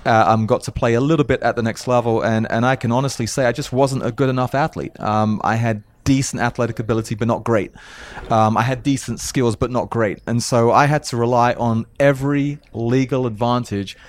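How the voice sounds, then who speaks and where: A man's voice: mid-range voice, steady tone, brisk. A man from South London with a light London English accent, speaking earnestly as a coach interviewed on a podcast, often repeating his first words. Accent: South London English, softened by years in America. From South London, England. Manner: earnest, repeats words when starting sentences. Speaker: mental toughness coach, guest.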